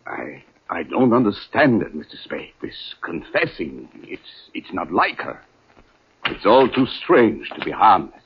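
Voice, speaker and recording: An actor playing a distressed, evasive doctor, halting and formal, in 1940s radio drama style. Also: male voice, deep voice, big pitch swings, gravelly, slightly rough, heavily acted. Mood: troubled. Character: distressed, evasive male doctor, weary and polite. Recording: muffled, hissy 1940s radio recording.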